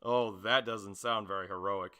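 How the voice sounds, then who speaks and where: Man voicing a bright, brash anime hero boy. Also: fairly low pitch, fairly even intonation, moderate pace, clean tone, pitched below the performer's own voice. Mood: teasing, disapproving. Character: brash, spirited teenage boy superhero student, anime dub. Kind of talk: anime dub audition acting.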